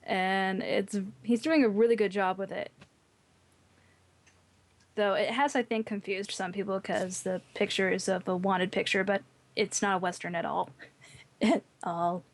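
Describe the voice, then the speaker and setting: A woman's voice: medium pitch, fairly even intonation, a little slow. A woman on a podcast panel chatting informally, thinking aloud as she goes. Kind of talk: casual chat.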